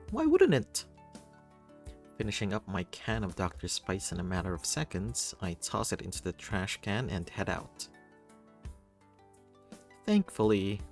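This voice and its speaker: A man reading a first-person story aloud in an expressive, playful, theatrical way. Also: low voice. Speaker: reader of a visual novel.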